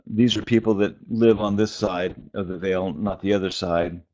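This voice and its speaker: A man giving an informal, reflective sermon in short, halting phrases with long pauses between them. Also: deep voice, flat delivery, darkish tone. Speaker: preacher and spiritual teacher. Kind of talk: informal prophetic sermon on a conference call. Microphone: phone conference call. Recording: dull, band-limited conference call audio.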